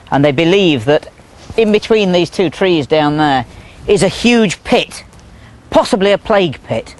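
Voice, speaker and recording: A man guiding a paranormal documentary tour to camera, telling eerie local history in measured, short phrases. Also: on the high side. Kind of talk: documentary guide talk to camera. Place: outdoors among castle ruins.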